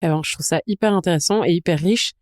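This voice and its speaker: A woman's voice: lowish voice, quick pace, a little mellow, dry recording, clean studio sound. A woman hosting a casual interview in an engaged, informal way. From Belgium. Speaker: podcast host, interviewer.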